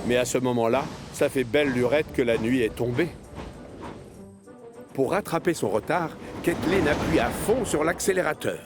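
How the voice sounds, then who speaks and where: Male storyteller over clear music. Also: medium pitch, normal speed. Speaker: narrator. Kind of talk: scripted children's story narration. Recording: music behind the voice.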